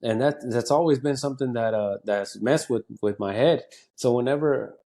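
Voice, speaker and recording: A man's voice: mid-range voice, moderate pace, warm tone. An American man chatting casually as a comedian guest, slangy and sweary, telling stories with quoted asides. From United States.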